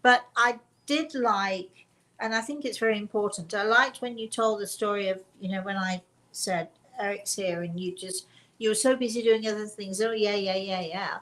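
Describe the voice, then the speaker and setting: A woman's voice: medium pitch, moderate pace, a bit bright, poor audio. A woman hosting a spiritual show, chatting casually and emphatically with a guest and viewers, often repeating herself. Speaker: host of a spiritual story and question show.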